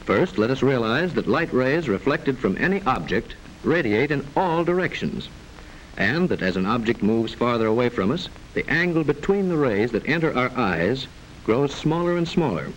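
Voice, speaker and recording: A man narrating a mid-century educational film in a formal, measured, didactic way with precise enunciation, on an old film soundtrack. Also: mid-range voice, big pitch swings, slight background noise, poor audio.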